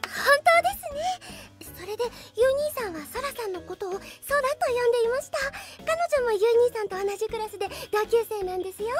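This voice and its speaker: A woman's voice: very high voice, animated, fairly quick, few pauses, music in the background. A woman playing a courteous fourteen-year-old schoolgirl with formal phrasing in an anime audio drama. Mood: reassuring.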